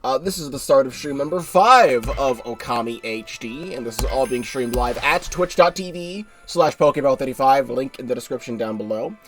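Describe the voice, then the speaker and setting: A man's voice: mid-range voice, lively intonation, moderate pace, hardly any pauses, fairly bright tone, faint music behind. A congested young man giving rambling, casual commentary while streaming a game live, often correcting himself and swearing offhand.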